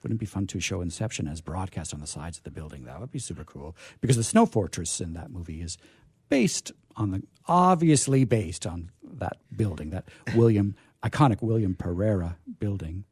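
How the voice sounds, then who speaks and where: A man's voice: low-pitched, expressive, normal speed, a little mellow, breathy edge, no echo, studio quality. A middle-aged or older man hosting a radio show, chatting casually and enthusiastically in a rambling, self-deprecating way. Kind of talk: casual unscripted event chat.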